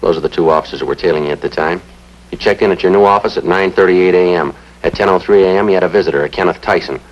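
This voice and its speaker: An American actor playing a terse, relentless police detective in a 1950s television crime drama, reciting facts in a clipped, deadpan way. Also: male voice, sits low, very fast. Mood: flatly factual. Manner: deadpan, matter-of-fact, clipped. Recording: dull vintage 1950s TV soundtrack.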